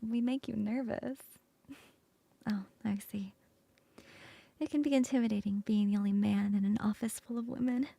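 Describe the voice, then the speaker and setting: A woman voicing a flirty female coworker in an ASMR roleplay, close to the mic in a soft, playful whisper. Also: medium pitch, steady tone, moderate pace, creaky, long pauses, no room sound. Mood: teasing whisper.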